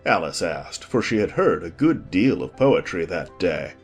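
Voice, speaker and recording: A man's voice: low-pitched, very animated, normal speed, husky. A man narrating a dramatized audiobook in scripted storytelling style, with music behind him.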